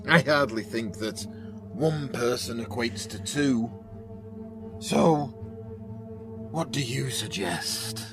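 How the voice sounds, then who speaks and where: A man's voice: medium pitch, lively intonation, takes it slowly, long pauses, over music. A man playing a smug, menacing gang boss in a zombie apocalypse audio drama, taunting with false politeness. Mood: mocking, smug. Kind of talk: acted audio drama dialogue.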